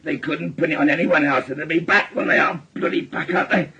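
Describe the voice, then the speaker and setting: A man's voice: on the high side, steady tone, fast, bright, forceful tone, highs cut off, a little hiss or hum. An actor playing a hot-tempered, blunt working-class man in a radio drama. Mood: angry, defiant. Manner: aggressive, blunt.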